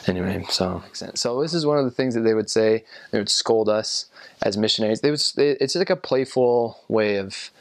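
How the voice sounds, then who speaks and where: A young man and former missionary talking casually to the camera, rambling and halting with lots of ums. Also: on the low side, dry recording. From United States. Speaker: returned missionary.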